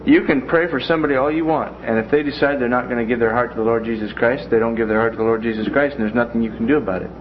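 A man's voice: medium pitch, fairly even intonation, racing, few pauses. A man teaching a Bible class in a classroom in a folksy way, with joking asides. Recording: dull lo-fi classroom recording with faint noise.

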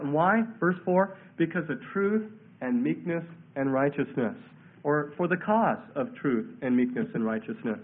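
Guy preaching bible verse by verse, stuffy head cold, highish voice, thin phone-like radio sound.

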